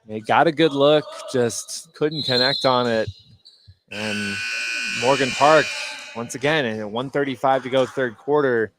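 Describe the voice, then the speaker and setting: A man working as color commentator on a live basketball broadcast, giving measured analysis with pauses mid-sentence. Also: mid-range voice. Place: indoor high school gym. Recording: live broadcast with faint gym noise.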